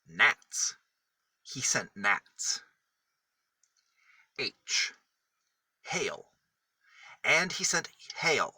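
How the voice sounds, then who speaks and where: Bright-voiced man reading a children's story. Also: medium pitch, slightly rough, no echo. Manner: deliberate, emphatic phrasing with single words set apart. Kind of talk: picture-book read-aloud for small children.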